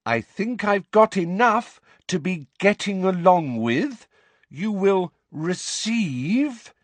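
A man voicing a condescending middle-aged woman school inspector, sickly sweet, speaking slowly and over-enunciating in a patronising way. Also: a little high, huge pitch swings, fairly bright tone, no echo, voice pushed up, full character voice. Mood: smug, slow.

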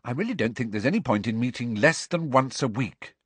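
A man reads as a bossy, earnest teenage girl who speaks briskly and tartly, her tone lively and swinging. The voice is a little husky, in a dry, close recording. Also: medium pitch, dry recording, voice pushed up. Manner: brisk, impatient, tart.

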